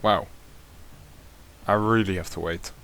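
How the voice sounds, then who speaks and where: A man giving casual, sarcastic commentary while opening game packs, grumbling and then breaking into sudden excited yells. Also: deep voice, measured pace, vocal fry. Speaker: gaming content creator.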